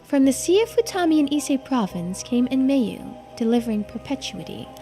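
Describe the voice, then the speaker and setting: A woman voicing a polite, studious local guide in a video game, explaining things in a measured, formal way. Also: high-pitched, very animated, warm tone, pitched above the performer's own voice. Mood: earnest, scholarly. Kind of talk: game character dialogue read aloud. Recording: music behind the voice.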